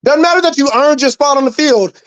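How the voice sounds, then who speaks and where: Co-host, man from New Mexico, high-ish voice, forceful and profane in debate. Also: brisk, dry recording. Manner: emphatic, profane, repeating himself. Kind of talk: heated sports debate.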